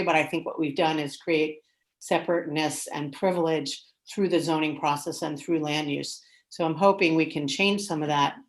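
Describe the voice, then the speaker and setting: A woman speaking earnestly and frankly as a panelist in an online discussion. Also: sits low. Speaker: panelist with planning background. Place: remote video call. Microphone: video call.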